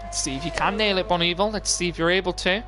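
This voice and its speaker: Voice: medium pitch, fast talker, pushed and bright, faint music behind. A commentator giving live play-by-play of an online motor race on a stream. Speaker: esports racing commentator.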